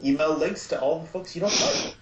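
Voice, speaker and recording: A man appearing as a guest on a podcast, speaking casually and haltingly with lots of ums. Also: a little high, reverberant, noisy background. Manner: halting, many ums, easygoing. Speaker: guest, audio drama producer. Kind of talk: casual chat.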